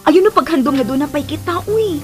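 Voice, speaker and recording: A woman's voice: high-pitched, lively intonation, a little fast. An actress playing a curt, heartbroken young woman in a radio drama, plaintive, with a Filipino accent. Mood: curt, casual. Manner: emotional, plaintive. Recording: music under the voice.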